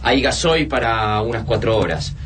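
An actor playing a grave, practical scientist and loyal friend in a radio drama, with a Rioplatense Argentine accent. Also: male voice, mid-range voice, animated, normal speed, background noise, lightly acted. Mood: practical, urgent. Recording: dramatic music under the voice.

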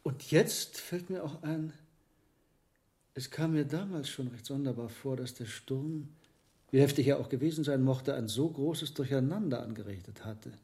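Male actor as learned monk herbalist, thoughtful and hesitant, soft mellow tone, radio play. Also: mid-range voice, close to an everyday voice. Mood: pensive, doubtful. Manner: deliberate, musing, with reflective pauses. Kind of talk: German radio drama acting.

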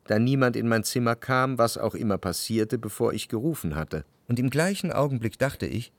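A male actor plays a jealous, brooding lover in a literary radio drama. His voice sits at a middle pitch in a very dry studio sound. He speaks in measured, introspective phrases, as if examining every thought. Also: lively intonation, no room sound, close to an everyday voice. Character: anxious, jealous, brooding lover recalling his life as narrator. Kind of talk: literary radio drama narration with quiet dialogue.

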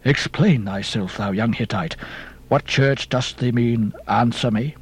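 A man voicing a stern, pious old Quaker ship captain with a hollow voice and slow, preachy, archaic speech. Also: medium pitch, husky, voice pushed down. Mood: scolding.

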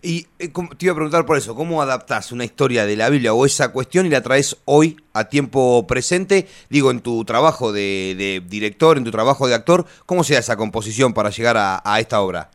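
A man's voice: medium pitch, animated, brisk, hardly any pauses. A man interviewing a guest on the radio, phrasing his questions in a hesitant, searching way.

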